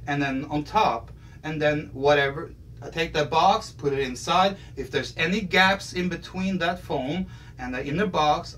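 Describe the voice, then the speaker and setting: A man's voice: fairly high pitch, fairly bright tone. A middle-aged man explaining his shop's shipping service to camera, rambling with frequent restarts, in a room full of audio gear. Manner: rambling, restarts often, gestures while talking. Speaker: audio shop owner. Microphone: camera across the room. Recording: distant mic with faint room noise.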